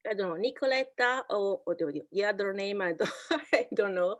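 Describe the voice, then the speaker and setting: A woman's voice: medium pitch, normal speed, dry recording, dull audio. A woman hosting a video-call webinar, searching for English words with many fillers and translating for others. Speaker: webinar host and interpreter. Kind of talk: casual video-call presentation.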